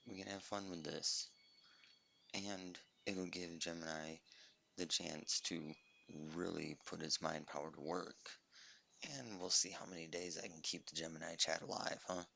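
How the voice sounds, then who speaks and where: Raspy low guy chatting while he games, gravelly and kinda sharp. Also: little pitch movement, measured pace. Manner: chatty, talking to viewers while playing. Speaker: gameplay narrator. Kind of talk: casual let's-play commentary. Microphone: cheap microphone.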